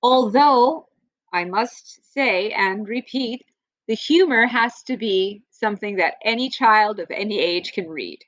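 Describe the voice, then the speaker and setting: A woman reading a children's storybook aloud like a teacher, with playful, theatrical emphasis and drawn-out phrases. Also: mid-range voice, dry recording, low-quality recording.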